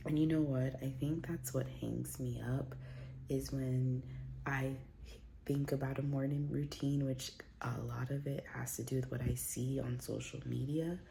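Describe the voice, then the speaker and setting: A woman vlogging at home into her phone with a very low, gravelly voice and a mellow, dark tone. She rambles casually with plenty of fillers and restarts, and she sounds a little congested from a recent cold. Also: fairly even intonation, unhurried, a little hiss or hum. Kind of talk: casual chatty vlog. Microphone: phone camera.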